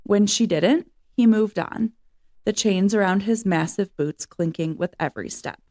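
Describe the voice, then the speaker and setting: A woman's voice: mid-range voice, a little slow, darkish tone. A woman reading a children's story aloud as narrator. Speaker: reader of a children's book.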